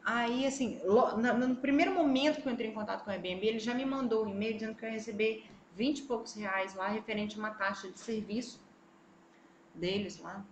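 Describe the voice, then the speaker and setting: A Brazilian woman with a Brazilian Portuguese accent vlogs about travel in a lively, expressive way, speaking directly to her viewers. Her voice sits a little high. The room is echoey, with a faint background hum. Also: fairly quick. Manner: animated, expressive, talks straight to viewers. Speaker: travel vlogger. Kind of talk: casual vlog storytelling.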